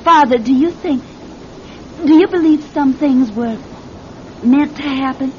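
A naive, lovestruck young woman in an old radio play. She speaks in a fairly high voice, halting and earnestly pleading. The sound is muffled, over a low noisy rumble. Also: mellow. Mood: anxious, pleading. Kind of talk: old-time radio drama acting.

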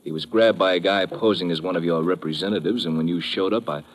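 An actor playing a guarded, tough American army lieutenant in a 1950s radio drama, talking in terse slang. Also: male voice, deep voice, fast. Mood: sheepish, apologetic.

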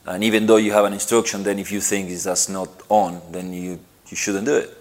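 A middle-aged man from Argentina answering interview questions, halting and repeating himself, with lots of "you know". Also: low-pitched, flat delivery. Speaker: interviewed footballer.

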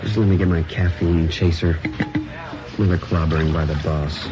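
An actor playing a wry, skeptical, put-upon junior book editor in a radio drama, speaking sardonically. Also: male voice, low-pitched, steady tone, normal speed, lightly acted. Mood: teasing skepticism. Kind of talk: naturalistic radio drama acting. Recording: dull old radio broadcast, faint music.

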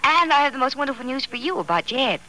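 Eager, bright-voiced young radio heroine. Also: female voice, high-pitched, sing-song, fast, full character voice. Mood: happy, tender. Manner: earnest, tender. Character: affectionate, eager young woman, encouraging and devoted. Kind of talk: old-time radio drama acting. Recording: noisy vintage radio recording.

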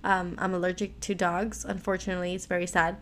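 Raspy American woman hosting a devotional. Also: fairly low pitch, level tone, quick pace. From Miami, Florida, United States. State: hoarse from allergies. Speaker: devotional podcast host.

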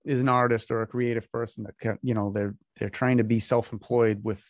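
A man hosting a podcast, raspy while getting over a cold, speaking in a relaxed, upbeat way. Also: mid-range voice, a little fast, dry recording, studio quality. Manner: relaxed, upbeat, loose with fillers. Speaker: podcast host. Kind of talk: casual podcast interview.

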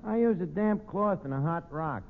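Cocky New tavern manager. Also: male voice, a little high, lively intonation, moderate pace, vocal fry. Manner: mock-pompous, stumbling, slangy, cocky. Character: brash, malaprop-prone New York tavern manager. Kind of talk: 1940s radio comedy acting. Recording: noisy, phone-like old radio recording.

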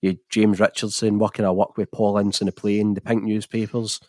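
Scottish man, football podcast host. Low register, conversational interviewing, dry close sound. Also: little pitch movement, fairly quick, darkish tone, no room sound. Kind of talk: casual football chat interview.

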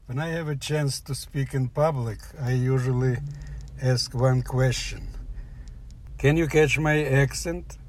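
A man's voice: mid-range voice, steady tone, a little hiss or hum. Russian-accented older man telling his story. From Soviet Union. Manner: halting, plain phrasing with restarts. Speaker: guest storyteller. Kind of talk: personal story told aloud.

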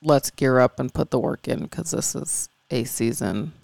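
A woman co-hosting a podcast, candid and earnest, a little raspy and stuffy from allergies. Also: extremely low pitch, moderate pace, darkish tone, no echo. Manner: candid, earnest, rambling with fillers. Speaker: podcast co-host. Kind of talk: casual chat.

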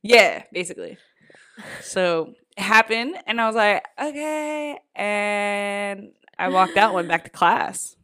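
A woman's voice: medium pitch, lively intonation, slow, a bit bright. A young woman co-hosting a podcast, talking bluntly and profanely in casual chat, full of fillers and quoted reactions. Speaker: podcast co-host.

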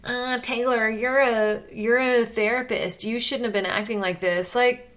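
A woman's voice: on the high side, steady tone, a little slow, a bit bright. Sarcastic woman podcast co-host. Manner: sarcastic, laughs often, swears freely. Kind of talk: casual comedic recap chat.

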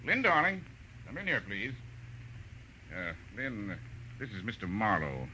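An actor playing a cold, suave, imperious husband, sardonic and formal, in a 1940s radio drama. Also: male voice, fairly low pitch, huge pitch swings, measured pace, bright, forceful tone, heavily acted. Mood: cool, sardonic. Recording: noisy old radio recording.

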